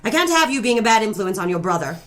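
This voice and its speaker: A woman's voice: mid-range voice, very animated, quick pace, a bit bright, soft background music. An actress playing a cruel, haughty middle-aged mother in a noir audio drama, scolding and condescending. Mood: quiet, menacing. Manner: imperious, scolding, condescending endearments. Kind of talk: noir radio drama acting.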